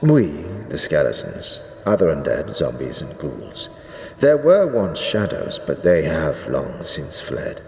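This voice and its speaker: A man playing an ancient, zealous skeleton high priest in a fantasy audio drama, speaking gravely in archaic, ceremonious phrases. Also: very animated, a little mellow, exaggerated voice. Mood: measured, somber. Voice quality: deep, drawn-out delivery. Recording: echoey reverberant hall, faint noise.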